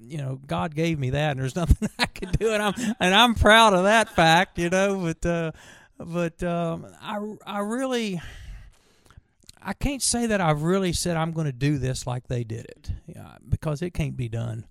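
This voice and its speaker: A man in his sixties from tells stories in a folksy, rambling way, often stopping and starting over. His voice is gravelly and dry, with no room sound around it. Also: a little high, normal speed, fairly bright tone, no echo. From Virginia, USA. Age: 60s. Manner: folksy, rambling, with many restarts. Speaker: bluegrass musician guest. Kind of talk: casual storytelling interview.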